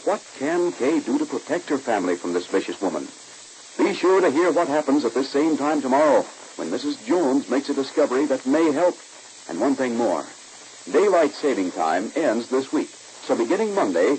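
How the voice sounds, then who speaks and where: A man's voice: mid-range voice, a little mellow, poor audio. A man with an American accent announcing in polished, emphatic 1940s radio style, like a scripted salesman. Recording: hissy old radio recording.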